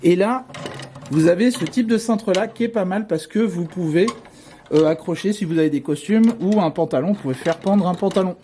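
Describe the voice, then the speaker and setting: A man's voice: high voice, normal speed, warm tone, a little hiss or hum. Chatty man giving a walking tour. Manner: chatty, friendly, many filler sounds. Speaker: vlogger touring a hotel. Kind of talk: casual walkthrough commentary. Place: indoors in a hotel, walking through corridors and a room.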